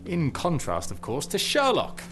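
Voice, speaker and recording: A man's voice: fairly high pitch, wildly swooping pitch, measured pace, fairly bright tone, soft background music, heavily acted. A man with a British accent playing a pompous, condescending government official in formal, scornful style for a video game. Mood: haughty, scornful. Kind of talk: scripted video game cutscene acting.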